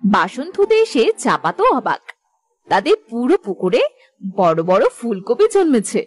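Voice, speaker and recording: A woman's voice: on the high side, sing-song, moderate pace, husky, dry recording. A woman narrator reading a scripted cartoon fairy tale.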